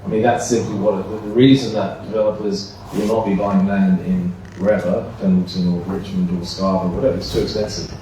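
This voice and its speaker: A man answering as a candidate at a debate, brisk and matter-of-fact. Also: low voice, level tone. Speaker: council candidate. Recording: echoey hall, distant mic, background noise.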